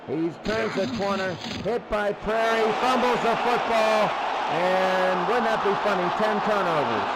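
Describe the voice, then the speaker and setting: A high-voiced man gives play-by-play football commentary over clearly noisy sound. He takes his time and repeats key phrases to drive them home. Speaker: play-by-play sports announcer. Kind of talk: live American football radio-style commentary.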